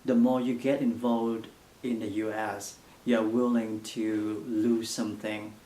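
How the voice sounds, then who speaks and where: A young man from Vietnam with a Vietnamese accent, answering interview questions in halting English full of fillers. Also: fairly low pitch, little pitch movement, warm tone. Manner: halting non-native English with frequent fillers. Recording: echoey room.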